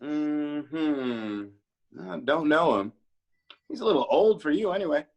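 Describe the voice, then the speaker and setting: A woman's voice: low voice, expressive, slow, darkish tone, exaggerated voice. A woman playing a bartender's blunt, teasing confidante in a screenplay table read.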